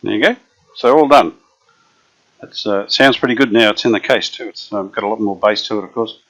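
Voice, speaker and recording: A man restoring an old radio, chatting casually as he works, unhurried and full of fillers. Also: lowish voice, a bit bright. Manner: unhurried, thinking aloud with many fillers. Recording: dull lo-fi workshop recording.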